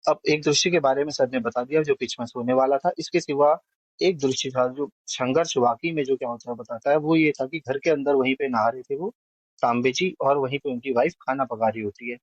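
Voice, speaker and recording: A man's voice: medium pitch, no echo. A man giving a spoken film review as a panelist, going through it point by point. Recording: dry, slightly dull lo-fi audio.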